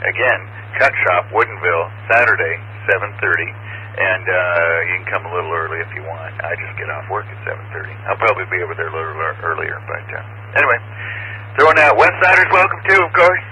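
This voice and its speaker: A man's voice: sits low, animated, quick pace, sharp tone. A man checking in on amateur radio with casual, folksy, rambling chat, heard through a two-way radio. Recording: faintly noisy amateur radio transmission.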